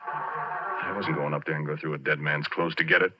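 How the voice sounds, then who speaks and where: A man playing a folksy working-class oil driller, telling an eerie tale in halting, ungrammatical speech as 1940s radio drama. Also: rumbling low, fairly even intonation, noisy background. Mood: grim, shaken. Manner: colloquial, ungrammatical, halting, confiding. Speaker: narrator and lead character of a radio drama. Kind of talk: 1940s radio drama first-person storytelling. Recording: dull 1940s radio recording with music.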